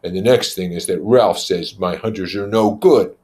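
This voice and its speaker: A man's voice: low-pitched, normal speed, darkish tone, natural voice. A man voicing an aggressive, contemptuous schoolboy hunt leader in a storybook reading, commanding and accusing. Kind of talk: storybook character voice.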